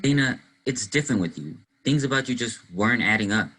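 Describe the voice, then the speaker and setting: Young man acting husky, earnest teenage boy sleuth. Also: mid-range voice, lively intonation, brisk, sharp tone, low-quality recording. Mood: probing, serious. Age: young adult. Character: earnest, probing teenage boy, amateur detective. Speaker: student actor in a school play. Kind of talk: one-act play acting.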